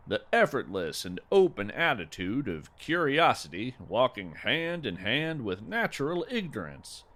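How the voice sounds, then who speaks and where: A man playing an earnest, folksy naturalist who narrates audio fiction in florid, wonderstruck, deliberate phrases. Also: medium pitch, fairly bright tone. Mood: thoughtful, hopeful. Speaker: podcast host and narrator. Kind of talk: scripted first-person audio fiction narration.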